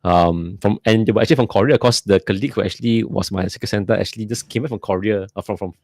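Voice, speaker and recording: A man's voice: on the low side, darkish tone, dry recording, studio quality. A man co-hosting a podcast, rambling in casual chat with lots of fillers and restarts. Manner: rambling, halting with many fillers and repeats. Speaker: podcast co-host.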